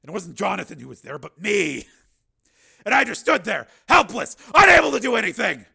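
A man's voice: high-pitched, very animated, fairly quick, gravelly, slightly rough, voice pushed up, heavily acted. A man doing a haunted, tense cyborg man. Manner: tense, clipped, forceful. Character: haunted male cyborg, weary and guarded.

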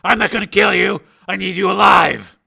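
A tough male commando leader from a thriller audiobook, acted out by a man. He barks orders in a raised, forceful voice that carries clear authority. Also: high voice, normal speed, pitched above the performer's own voice, heavily acted. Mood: shouting.

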